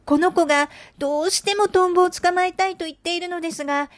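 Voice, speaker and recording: A woman's voice: very high voice, steady tone, fast talker, dry recording, pitched above the performer's own voice. A woman voicing a polite young mother in a cartoon storybook, apologetic and pleading.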